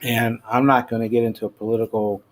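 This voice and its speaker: A middle-aged American man giving casual, halting news commentary as a host, informal and prone to trailing off. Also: medium pitch, level tone. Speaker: host of a personal rant show. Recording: clearly noisy amateur home recording.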